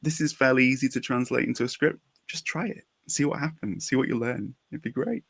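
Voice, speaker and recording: Encouraging male podcast host, slightly gravelly. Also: mid-range voice, fairly quick, a bit bright, no room sound. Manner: encouraging, enthusiastic. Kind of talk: casual podcast chat.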